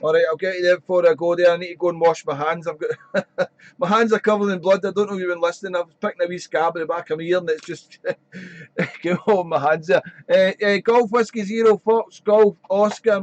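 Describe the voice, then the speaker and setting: A man chatting on amateur radio from his radio shack, rambling and friendly, his voice slightly croaky. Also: high voice, fairly even intonation, a little fast, dry recording, lo-fi sound. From Wales. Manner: chatty, rambling, fills with "there". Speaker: ham radio operator. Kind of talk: casual radio chat.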